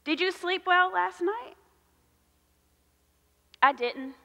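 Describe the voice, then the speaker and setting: A woman in her thirties, a pastor preaching a sermon in a large church sanctuary with slow, emphatic repetition. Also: very high-pitched, creaky, sharp tone. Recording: long church hall reverb.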